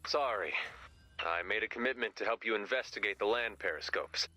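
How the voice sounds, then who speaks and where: An actor playing a dry, sardonic but loyal rock musician in a video game, speaking with wry sarcasm. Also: male voice, on the low side, fairly quick, bright, forceful tone, poor audio. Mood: dry, pointed.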